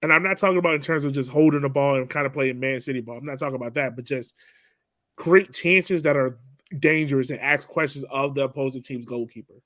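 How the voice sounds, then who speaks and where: A man's voice: medium pitch, quick pace, fairly bright tone, no room sound. A man co-hosting a sports podcast, talking casually and conversationally as in a post-game analysis. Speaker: podcast co-host.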